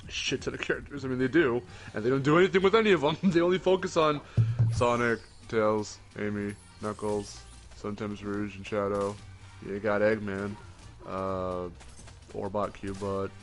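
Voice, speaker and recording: A man's voice: lowish voice, normal speed, faint music behind. A young man with a groggy morning voice, chatting casually as a podcast co-host, rambling and correcting himself, with the odd swear word. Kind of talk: casual chat.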